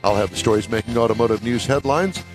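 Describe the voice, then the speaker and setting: A man's voice: mid-range voice, quick pace, music in the background. A man hosting a radio talk show from a studio, folksy and joking, sometimes tripping over long introductions.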